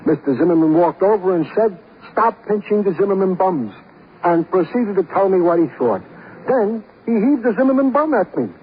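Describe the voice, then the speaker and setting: An actor playing an earnest, easily wounded police sergeant in a radio drama, with plaintive, florid, mock-formal phrasing. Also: male voice, sits high, expressive, normal speed, breathy edge. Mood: plaintive, aggrieved. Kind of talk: 1950s radio drama acting. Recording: muffled, faintly noisy 1950s radio recording.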